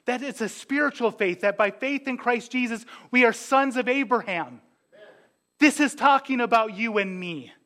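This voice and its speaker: High bright-voiced man preaching. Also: normal speed. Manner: conversational preaching with asides and jokes. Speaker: pastor. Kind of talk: sermon.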